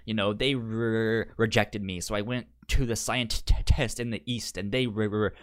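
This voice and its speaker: A man co-hosting a podcast in casual film discussion, halting and often repeating words as he paraphrases. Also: fairly low pitch, steady tone, clear, resonant tone. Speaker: podcast co-host.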